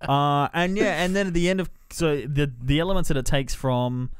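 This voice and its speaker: A man's voice: fairly high pitch, normal speed, clear, resonant tone, no room sound, studio quality. A man hosting a podcast, giving a casual, sweary, colloquial review of a film. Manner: sweary, colloquial, loosely descriptive.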